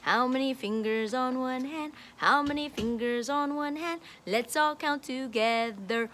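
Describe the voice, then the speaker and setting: Female early-years teacher, high-pitched and unhurried, sing-song delivery for a children's read-aloud and counting lesson. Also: fairly bright tone, a little hiss or hum.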